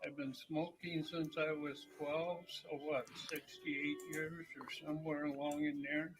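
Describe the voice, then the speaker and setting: A man's voice: fairly high pitch, level tone, a little slow, poor audio. A man in his seventies giving a short, plain testimony about quitting smoking for vaping. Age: 70s. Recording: clip with music underneath.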